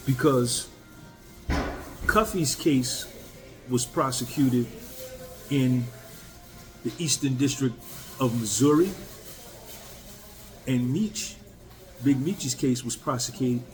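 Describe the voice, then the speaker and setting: Mid-50s guy on his channel, blunt and candid, plain everyday voice, bit of music low behind him. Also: a little mellow, poor audio. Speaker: YouTuber. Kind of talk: casual talk to camera.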